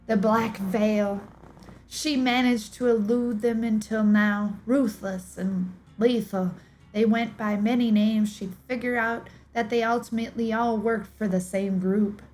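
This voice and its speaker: Female streamer for dramatic lore narration, theatrical and measured, mid-range pitch, music underneath. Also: darkish tone. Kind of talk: dramatic reading of game lore.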